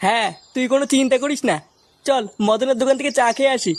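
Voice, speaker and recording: Brash village buddy in a cartoon, high quick voice, cheeky banter, someone doing him. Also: slight background noise, natural voice. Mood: brash scheming. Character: scheming village buddy, brash young man. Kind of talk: comic cartoon dubbing.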